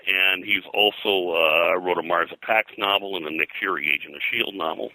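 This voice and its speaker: A man talking informally as an interview guest and historian, rambling and haltingly, often repeating words. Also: sits low, sharp tone, no room sound, poor audio. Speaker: guest, pop culture historian. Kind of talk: informal interview talk about pulp fiction history.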